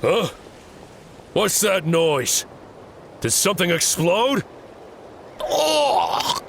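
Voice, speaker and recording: An actor playing a startled, indignant male guard in a video game, shouting from some distance away. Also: sits high, sing-song, very slow, gravelly, slight background noise, exaggerated voice.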